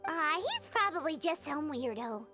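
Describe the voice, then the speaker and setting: A tiny, excitable fairy sidekick with an extremely high, squeaky, childlike voice. The pitch swoops about as she explains things in a bossy, knowing way. It is video game dialogue with prominent music behind it. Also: normal speed. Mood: explaining, puzzled. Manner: speaks of self in third person, explains knowingly.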